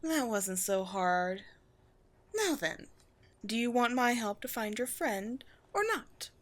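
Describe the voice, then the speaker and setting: A woman voicing a proud, wry vampire queen with a formal, measured, teasing manner in a scripted audio roleplay. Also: fairly high pitch, animated. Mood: wry, commanding. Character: poised vampire queen, proud, wry, secretly gentle colony leader.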